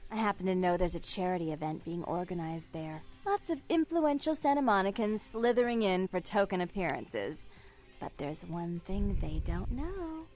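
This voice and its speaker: Teasing, sing-song vampire schemer played by an actress. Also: female voice, mid-range voice, a little mellow, natural voice.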